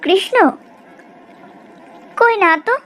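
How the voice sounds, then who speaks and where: A woman's voice: slow, close to an everyday voice. A woman playing an earnest, self-sacrificing rich young woman in a cartoon melodrama, speaking plaintively. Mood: bewildered.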